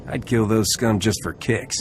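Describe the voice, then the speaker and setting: A cocky, gruff male space captain voiced by an actor for a video game, impatient and roguish. His voice is fairly low, with faint music behind. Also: normal speed, natural voice. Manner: brash, cocky.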